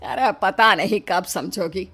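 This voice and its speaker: A woman voicing a caring, mildly exasperated middle-aged mother-in-law in a cartoon story, gently chiding and motherly. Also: lowish voice, slow pace, slightly rough, full character voice.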